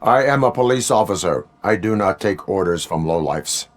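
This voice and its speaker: A man playing a stoic cyborg police officer in a video game, speaking in deliberate, clipped, formal sentences. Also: sits low, animated. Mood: stern, commanding. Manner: deliberate, clipped, formal speech without contractions.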